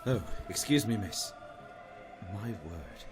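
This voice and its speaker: A male actor's flustered gentleman speaks slowly but with big swings of pitch. The wording is formal and old-fashioned, in an audio drama with music behind. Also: lively intonation. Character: flustered, proper gentleman John Watson.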